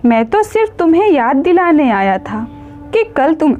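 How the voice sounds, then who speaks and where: A woman's voice: sits high, sing-song, moderate pace, darkish tone. A woman voicing the sorrowful, lovelorn ghost of a dead husband in a story, wistful and tender. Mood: mournful, resigned.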